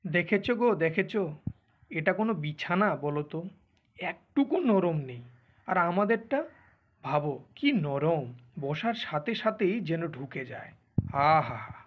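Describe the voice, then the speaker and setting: A man's voice: a little high, expressive, a little slow, pauses often. A man voicing a boastful, lazy husband from a faded landlord family in a Bengali cartoon, with a pompous, bragging manner.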